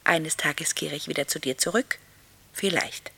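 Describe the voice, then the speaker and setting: Romantic runaway wife, bright voice, dramatic declaiming of a goodbye letter, 60s german radio play. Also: female voice, sits low, measured pace, gravelly, breathy edge, exaggerated voice. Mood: tender, melodramatic. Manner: melodramatic, declamatory. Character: theatrical, romantic runaway wife reading her farewell letter.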